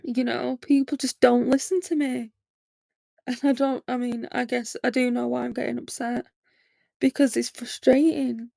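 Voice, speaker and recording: A young woman in her late twenties hosts a podcast, telling her own story candidly in a loose, rambling way full of fillers. Her fairly high voice has a gravelly fry and stays flat in pitch. The sound is close and dry. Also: normal speed, dry recording. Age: 20s. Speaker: podcast host. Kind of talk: personal monologue.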